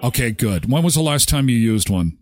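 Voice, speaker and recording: A man's voice: mid-range voice, animated, brisk, a little hiss or hum, natural voice. A man playing a polite, officious hotel clerk for a prank call, straight-faced, with hesitant phrasing.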